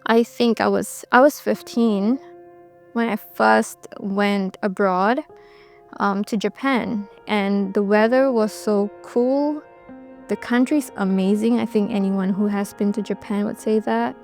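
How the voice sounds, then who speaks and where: A woman's voice: mid-range voice, takes it slowly, faint music behind. 20s woman, easygoing interview talk w lots of ums. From Bangladesh, raised in Singapore. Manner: relaxed, reflective, with frequent ums and restarts. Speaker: documentary subject. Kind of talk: interview recollection.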